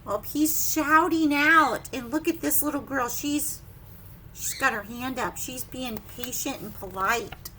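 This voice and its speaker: A woman leading preschool story time, reading a picture book with simple, expressive asides and questions to young children. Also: high-pitched, measured pace, a little hiss or hum, low-quality recording.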